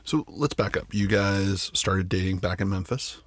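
Husky, low-voiced male interviewer. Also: normal speed, no echo. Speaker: interviewer, host. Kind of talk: casual interview chat.

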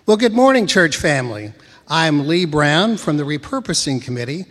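A soft-spoken man, reading a prepared committee report to a church congregation at a podium mic. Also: on the high side, big pitch swings, normal speed. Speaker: church committee member presenting. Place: church sanctuary. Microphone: podium microphone. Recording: long church reverb.

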